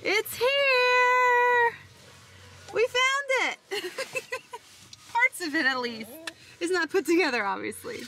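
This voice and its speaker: A young woman vlogging to a camera at arm's length, excitable and quick to giggle, first in a car and then outdoors. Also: extremely high pitch. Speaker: homestead vlogger. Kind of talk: casual vlog chat.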